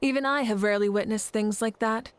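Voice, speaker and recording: A woman playing a calm, self-assured leader who speaks in an unhurried, gently teasing way. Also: fairly high pitch, smooth, lightly acted.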